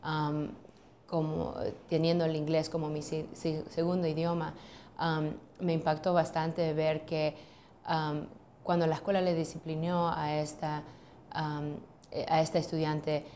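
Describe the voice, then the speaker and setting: Low, flat-voiced woman lawyer, hesitant. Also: measured pace, slight background noise. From United States. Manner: hesitant, many ums and restarts. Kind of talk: filmed interview answers. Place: office, seated at a desk.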